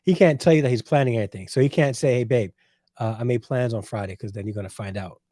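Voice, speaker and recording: A man's voice: mid-range voice, fast, warm tone, no echo, studio quality. A man in his forties hosting a casual livestream, reading chat and answering with playful, teasing banter. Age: 40s. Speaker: host reading live chat.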